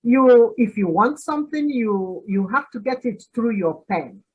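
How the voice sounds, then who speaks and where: A woman in her sixties with a Nigerian accent and Igbo as her first language speaks slowly, in an unhurried, measured way. Her voice is husky and she laughs easily between pauses. The audio is dull and lo-fi. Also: on the high side, expressive. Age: 60s. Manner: storytelling, laughs often, many "uh" pauses. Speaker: podcast guest. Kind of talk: reflective interview answer.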